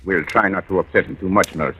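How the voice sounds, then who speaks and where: A man's voice: sits low, fast, sharp tone. A man playing a blunt, impatient police captain in brusque, hard-boiled 1940s radio drama style. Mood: expectant. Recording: noisy, crackly old radio recording.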